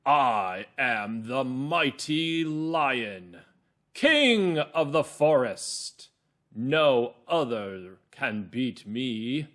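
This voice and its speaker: A man voicing a mighty, boastful lion king of the forest with a rough, big voice, speaking slowly and pompously for a children's story. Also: mid-range voice, big pitch swings, fairly bright tone, exaggerated voice. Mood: proud boasting. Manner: slow, emphatic, pompous.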